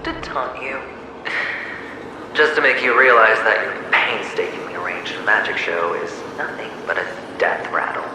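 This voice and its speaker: An actor playing the mocking inner self of a cunning gambler in a video game, with a sardonic, theatrical delivery and an echo effect. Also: male voice, lowish voice, fairly even intonation, normal speed, noisy background. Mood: sneering, taunting. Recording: heavily echoing, with music behind.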